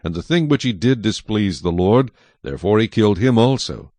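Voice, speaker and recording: A man's voice: lowish voice, lively intonation, a little fast, warm tone, no echo. A man reading scripture as the narrator of a dramatized audio Bible.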